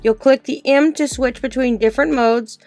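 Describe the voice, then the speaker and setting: Higher-pitched woman reviewing a product. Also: normal speed, darkish tone, no echo. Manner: informal, explanatory, step-by-step. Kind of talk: casual product review and demonstration.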